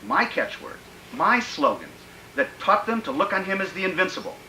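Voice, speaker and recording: An actor playing a megalomaniac, menacing political schemer in a radio drama, declaiming in a grandiose, theatrical way. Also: male voice, high-pitched, sing-song, normal speed, bright, forceful tone, echoey room, a little hiss or hum, full character voice, poor audio. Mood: smooth, scheming. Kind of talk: old-time radio drama acting.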